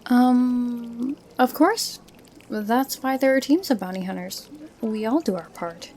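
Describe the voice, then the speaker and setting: A woman's voice: a little high, slow pace, a little mellow. A woman playing a tactful, wry adventurer interviewing recruits in a fantasy audio drama.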